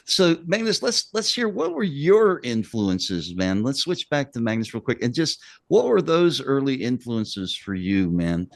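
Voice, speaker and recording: A man's voice: mid-range voice, big pitch swings, measured pace, vocal fry, hardly any pauses, no echo, studio quality. A man interviewing a band, casually encouraging and often calling guests "man". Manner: affirming, says "man" often.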